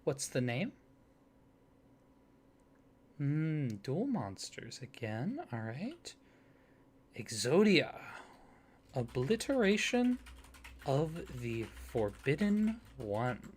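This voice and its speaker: Softspoken guy doing asmr roleplay, slow easy pace, voice bobbing up and down a lot. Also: fairly high pitch, big pitch swings, husky. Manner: soft-spoken, gently enthusiastic. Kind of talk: soft-spoken ASMR roleplay.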